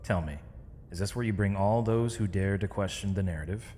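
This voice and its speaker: A man playing a captured mage in an audio roleplay, defiant and weary, speaking in measured, sardonic, formal phrases. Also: low voice, dark tone, natural voice. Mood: sardonic, probing. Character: captured male mage, defiant, weary, eloquent, accused villain. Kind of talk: scripted ASMR audio roleplay. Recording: long reverb, clear background noise.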